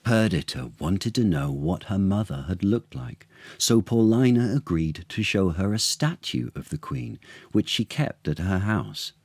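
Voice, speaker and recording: Male actor for children's storytime: deep register, unhurried theatrical delivery, very dry sound. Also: animated, darkish tone, dry recording. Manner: theatrical, unhurried, with dramatic pauses. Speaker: actor reading aloud as storyteller. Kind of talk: expressive children's storytime narration of a literary tale.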